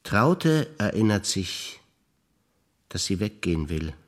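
An older man, a stage actor reciting memories in a rhythmic, deliberate listing manner with pauses. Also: on the low side, big pitch swings.

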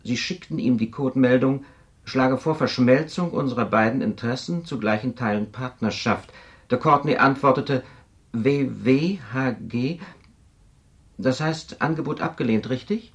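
A man plays a formal telepath adviser from a 1970s science-fiction radio play. His mid-pitched voice is deliberate, probing and insistent, pressing each point. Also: natural voice. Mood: grave, insistent. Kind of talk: 1970s radio drama acting.

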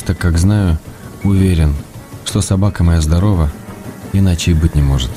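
Strong music plays behind a deep male voice. A man acts a middle-aged writer and husband in a radio drama, reading his letters aloud. He is tender and playful, with a measured, intimate delivery. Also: natural voice. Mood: contented, tender.

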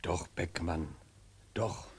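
An actor playing an insistent, optimistic companion in a radio play, coaxing and encouraging. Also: male voice, deep voice, steady tone, slow, gravelly, breathy edge, exaggerated voice. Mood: urging. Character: urging, optimistic yes-sayer, a man's insistent companion. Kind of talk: dramatic radio play acting.

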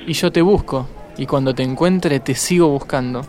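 An actor with a Buenos Aires accent playing an ardent, eager romantic suitor in a radio drama. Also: male voice, mid-range voice, normal speed, a little mellow, soft background music, close to an everyday voice. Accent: Argentine, Buenos Aires.

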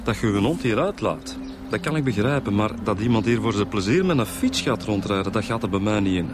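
An actor playing a matter-of-fact police detective in a radio drama, speaking with a Flemish accent. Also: male voice, on the low side, expressive, brisk, background noise, close to an everyday voice. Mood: pondering.

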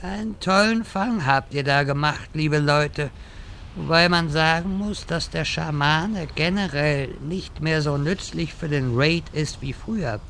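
A man voicing a grand old wizard who drags out every word at a crawl, his voice sitting a touch high for a man. He addresses people formally, like an old sage. A faint ambient hiss runs under the lines. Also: fairly bright tone. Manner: slow, measured, formal address. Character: solemn old male wizard, slow and grand. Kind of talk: comedy audio drama acting.

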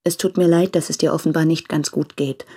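An actress playing a tender, regretful woman addressing her former lover in a radio play, speaking in a reflective, restrained, deliberate way. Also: sits low, fairly even intonation, dark tone, a little hiss or hum. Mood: compassionate, sad. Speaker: radio drama actor. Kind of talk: intimate literary German radio play monologue.

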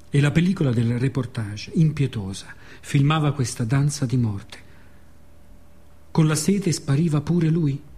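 A man with a Roman accent tells a story as a theatrical radio monologue. His voice is fairly high and somewhat husky. He builds the tale from short fragments, with repetitions and dramatic pauses. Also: a little mellow. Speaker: actor and author of a radio monologue.

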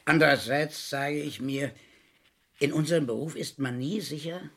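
An actor playing a shrewd, needling middle-aged police inspector in a crime radio play, deliberate and slyly sympathetic. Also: male voice, fairly high pitch, gravelly, a bit bright, breathy edge, exaggerated voice. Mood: quietly menacing. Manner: sly, deliberate, feigned sympathy with pauses.

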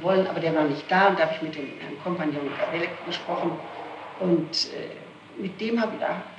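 A woman answers questions on an old archival tape with clear noise and a roomy echo. She explains things step by step, haltingly, often circling back to correct herself. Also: on the low side. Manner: halting, circumstantial, self-correcting. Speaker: accused being questioned. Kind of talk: recounting answers under interrogation. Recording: noisy echoey archival tape recording.

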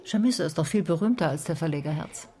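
A woman's voice: low-pitched, expressive, quick pace, warm tone. An actress playing a female private detective in a radio drama, sceptical and probing, with an understated, wry delivery. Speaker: lead character and first-person narrator. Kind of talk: German radio drama dialogue and narration.

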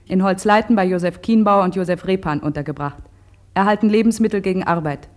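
A woman reading terse diary entries in a measured, matter-of-fact way for a radio drama. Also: medium pitch. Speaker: diary reader.

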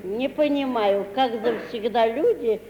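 Coy, prim, slow-spoken housemaid. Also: female voice, a little high, dark tone, highs cut off. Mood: coy, offended. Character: coy, prim, easily offended female household servant. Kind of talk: 1950s Russian stage-comedy radio acting. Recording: echoey old radio recording, background commotion.

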